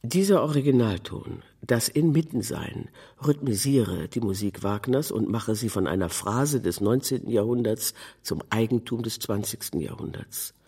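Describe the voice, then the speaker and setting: Husky man reading literary prose. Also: lowish voice, normal speed, hardly any pauses, warm tone. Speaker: narrator, reader of a literary text. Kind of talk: scripted literary narration.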